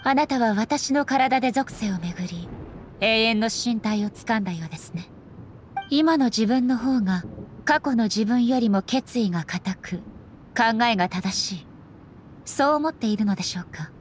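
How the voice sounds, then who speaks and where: A woman playing a solemn, resolute warrior goddess in a Japanese anime game, speaking formally in a grave, measured manner. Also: fairly high pitch, expressive, a little hiss or hum, natural voice. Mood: stern, grave. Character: solemn, resolute warrior goddess and her stern puppet shogun.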